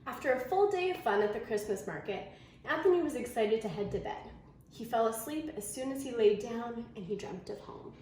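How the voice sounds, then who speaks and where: A woman reading a children's story aloud in an expressive storytelling manner. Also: a little high, brisk. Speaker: storybook reader. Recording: very echoey room, faint noise.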